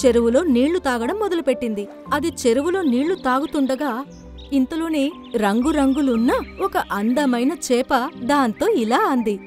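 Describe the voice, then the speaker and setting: A woman's voice: sits high, lively intonation, moderate pace, soft background music. A woman reading scripted narration for a children's story.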